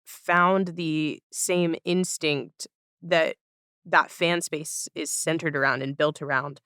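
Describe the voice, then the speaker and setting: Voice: medium pitch, fairly even intonation, takes it slowly, bright, forceful tone, clear, resonant tone, dry recording, clean studio sound. A podcast host in casual interview chat, talking in a reflective, wordy way and easing into questions.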